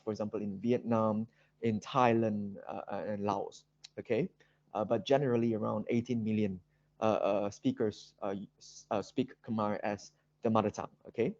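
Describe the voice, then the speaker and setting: A man with a Malaysian accent giving an academic seminar presentation, speaking haltingly with very frequent ums and repeated words. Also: lowish voice, a little mellow. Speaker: guest lecturer. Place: online video call seminar. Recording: dull, roomy video-call audio.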